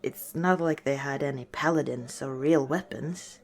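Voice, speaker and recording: A woman voicing a gentle, wistful female orc tavern owner in a soft-spoken, hesitant ASMR roleplay. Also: extremely low pitch, normal speed. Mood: resigned, gently amused.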